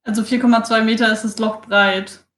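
Female tabletop player, fairly high voice, very echoey room sound, casual delivery peppered with English phrases. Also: level tone, brisk, fairly bright tone. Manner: mixes English phrases into her talk. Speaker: player in a tabletop role-playing game. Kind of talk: casual game-table chat, describing her character's moves.

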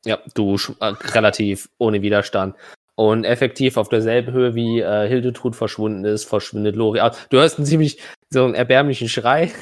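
A man narrating a tabletop role-playing game as game master, improvising in a halting, conversational way. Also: on the low side, steady tone.

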